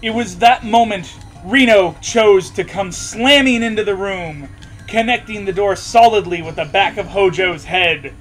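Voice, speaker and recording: A man's voice: high-pitched, measured pace, fairly bright tone, soft background music. A man hosting a mocking read-aloud, sarcastic, slipping between reading and casual banter and voicing punctuation marks. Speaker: host reading fan fiction aloud.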